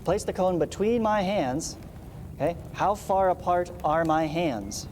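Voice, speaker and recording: A man's voice: sits high, lively intonation, a little slow, darkish tone. Male math teacher over background noise. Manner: explanatory, frequent check-in tags like "right?" and "okay?". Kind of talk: read-along lesson with asides.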